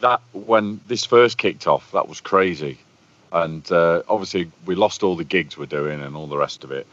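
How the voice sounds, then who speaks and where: Deep boomy-voiced man. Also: normal speed, a bit bright. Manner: talkative, storytelling. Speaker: guest, bass player. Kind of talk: casual conversation. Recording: muffled lo-fi audio.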